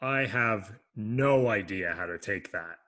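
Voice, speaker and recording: A man's voice: on the low side, slow pace, pushed and bright. A man reading the part of a glum teenage boy at a screenplay table read, sulky and self-deprecating. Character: glum teenage boy, a discouraged young writer.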